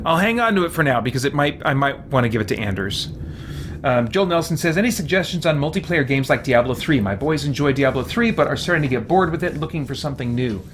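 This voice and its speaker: A man's voice: mid-range voice, lively intonation, quick pace, fairly bright tone, a little hiss or hum. A man hosting a casual game livestream, thinking aloud and rambling as he plays and chats. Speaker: game streamer and host. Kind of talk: casual livestream chat while playing.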